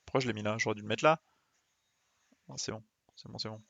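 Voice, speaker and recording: A man's voice: on the low side, steady tone, fast talker, vocal fry, a bit bright, no echo, muffled recording. A man giving casual live commentary as he plays a game, muttering short interjections as he thinks aloud. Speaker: game streamer.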